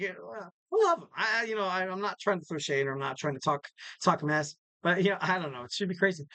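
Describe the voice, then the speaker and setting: Bright, high-voiced rambling podcast host. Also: male voice, no echo. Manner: rambling and jokey, many fillers and restarts. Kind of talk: casual solo podcast monologue.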